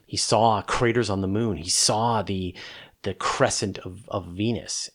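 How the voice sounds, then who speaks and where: Low-pitched man hosting a space Q&A. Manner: enthusiastic, emphatic, conversational. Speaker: host of a space question-and-answer show. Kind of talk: casual explanatory talk answering viewer questions.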